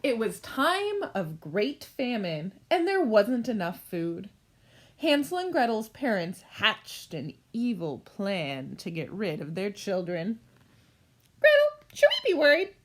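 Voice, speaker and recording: A woman's voice: medium pitch, very animated, slow, a little hiss or hum. A woman narrating a children's story aloud as a storyteller.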